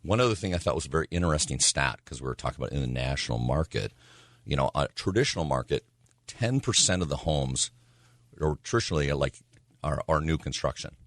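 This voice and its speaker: A man with a hoarse, raspy voice, talking casually as a real estate agent on a radio show, rambling with lots of fillers. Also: very deep voice, expressive, fast talker, fairly bright tone, no echo, studio quality. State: hoarse from yelling.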